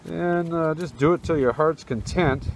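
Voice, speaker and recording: A man with a fairly high-pitched voice talks casually through a face mask, walking the listener through a hands-on job. He keeps it informal and step by step. The recording is outdoors, with plainly audible noise around the voice. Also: a little slow. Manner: informal, easygoing step-by-step talk. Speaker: host demonstrating a how-to restoration. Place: outdoors on a windy rooftop. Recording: noisy outdoor camera audio.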